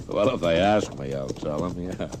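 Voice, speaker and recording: An actor playing a steady, easygoing frontier lawman in an old-time radio western, laconic and drily teasing. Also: sits low, unhurried. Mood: amused. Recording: noisy old radio, horse hoofbeats.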